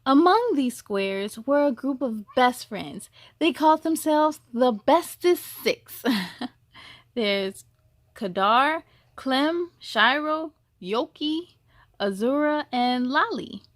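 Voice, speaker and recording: High-pitched woman reading a children's story. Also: animated, no echo, clean studio sound. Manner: slow, deliberate, exclamatory delivery for children. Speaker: children's story reader and host. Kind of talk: expressive read-aloud storytelling with asides.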